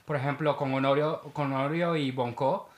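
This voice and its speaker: A woman's voice: a bass voice, steady tone, moderate pace. Venezuelan woman chatting in an echoey room. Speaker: event producer. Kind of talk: casual chat.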